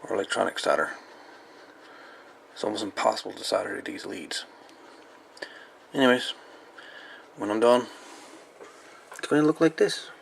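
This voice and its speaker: A man giving a casual hands-on repair walkthrough in a halting, folksy way with colloquial grammar. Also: lowish voice, animated, gravelly, slight background noise. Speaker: repair video host. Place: indoor electronics workbench.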